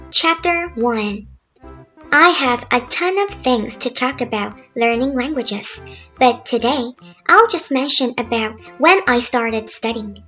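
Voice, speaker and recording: A woman in her thirties from South Korea, speaking English with a Korean accent, calmly narrating a chill, laid-back talk as a language tutor. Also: on the high side. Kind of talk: relaxed scripted narration over drawings. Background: faint music.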